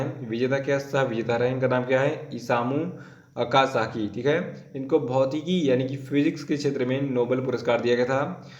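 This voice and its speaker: A hoarse man teaching an exam-prep current affairs lesson, going step by step and repeating names and dates for students to memorize. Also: medium pitch, reverberant, highs cut off.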